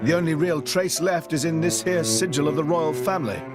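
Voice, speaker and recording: An actor with a British accent playing a cocky young adventurer in a video game, breezy and colloquial. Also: male voice, fairly high pitch, fairly quick, lightly acted. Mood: casual explaining. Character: cocky, good-humoured young exiled royal adventurer. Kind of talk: animated video game voice acting. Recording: music behind.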